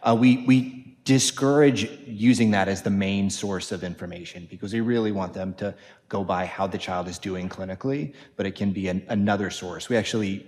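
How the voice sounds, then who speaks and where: A low-voiced male physician on a conference panel answers questions thoughtfully, often pausing with fillers. His voice has a very deep pitch and rings with a long hall reverb. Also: a little mellow.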